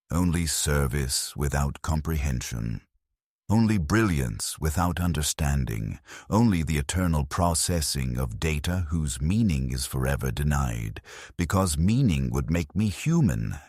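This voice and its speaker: A man narrating a scripted first-person story in a somber, measured, bleak way into a close mic. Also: rumbling low, slightly rough, no echo.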